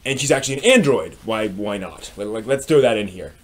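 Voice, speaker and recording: A man's voice: fairly low pitch, lively intonation, fairly quick. A man giving a casual, unscripted review as a live stream host, explaining things with wry asides.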